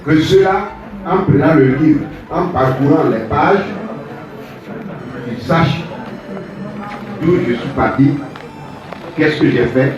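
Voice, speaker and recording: A man answers audience questions as an author, speaking deliberately and repeating phrases for emphasis. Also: a little high, dull audio. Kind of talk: spoken answers at a public book presentation. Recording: echoey hall, distant mic, music behind.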